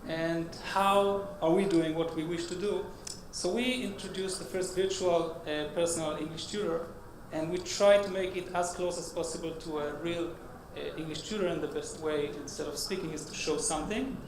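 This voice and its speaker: A man with a Hebrew accent from Israel giving a startup pitch at a conference, halting and full of uh fillers. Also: fairly even intonation. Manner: halting, many uh fillers and restarts. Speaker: startup CEO presenting. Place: conference hall stage. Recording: echoey hall with noisy background.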